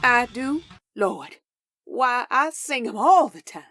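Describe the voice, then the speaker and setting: A woman's voice: a little high, lively intonation, slow, sharp tone, no room sound, studio quality, full character voice. Woman as a fervent, swooping devout churchgoer. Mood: rapturous. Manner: fervent, exclaiming. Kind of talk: comic dub character acting.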